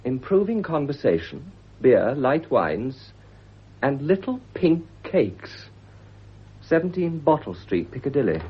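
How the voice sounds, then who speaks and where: An actor playing a well-spoken, down-on-his-luck young British gentleman, polite and wry, in a 1950s radio drama. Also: medium pitch, very animated, slow, exaggerated voice. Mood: intrigued. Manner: polite, wry, educated phrasing. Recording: dull, husky 1950s radio recording.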